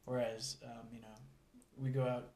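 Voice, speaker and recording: A man's voice: mid-range voice, level tone, moderate pace, darkish tone. A man in a casual chat, rambling with lots of fillers. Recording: echoey room.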